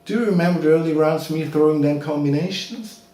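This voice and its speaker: A man's voice: a little high, brisk, dark tone, natural voice. Man acting a bitter ex-boxer, very echoey. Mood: wounded, bitter. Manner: plain-spoken, aggrieved. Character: bitter washed-up boxer reproaching his brother. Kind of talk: theatrical monologue. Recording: very echoey room, distant mic.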